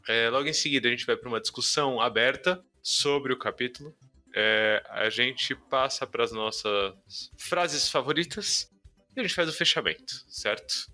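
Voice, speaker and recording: Male Brazilian podcast host, very bright projected tone, casual and slangy, faint music bed. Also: medium pitch, moderate pace. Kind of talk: casual chat. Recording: music behind the voice.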